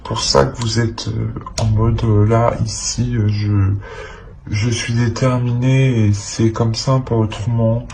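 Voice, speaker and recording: A man's voice: medium pitch, little pitch movement, slow pace, creaky, warm tone, slight background noise. A man giving an improvised tarot reading to the viewer, speaking hesitantly and often repeating his phrases. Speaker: tarot reader.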